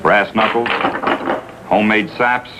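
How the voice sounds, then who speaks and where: An actor playing a plain-spoken American juvenile officer, brisk and clipped, in a 1950s radio drama. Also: male voice, lowish voice, fairly even intonation, lightly acted. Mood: grimly businesslike. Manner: brisk, clipped, matter-of-fact. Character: weary, plain-spoken male juvenile police officer briefing colleagues. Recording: old radio recording, faint noise.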